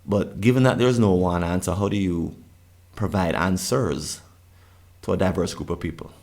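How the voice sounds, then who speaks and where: Deep gravelly Jamaican man presenting. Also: moderate pace, darkish tone. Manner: earnest, with frequent restarts and repeated words. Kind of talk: online summit presentation.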